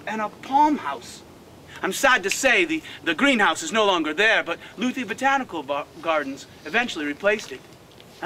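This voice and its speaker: A man's voice: high-pitched, pushed and bright, a little hiss or hum, rough recording. A man playing a proud old park designer. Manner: earnest, emphatic, addresses the crowd directly. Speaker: costumed tour guide, historical reenactor. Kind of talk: first-person living-history storytelling. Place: outdoors by a prairie on a walking tour.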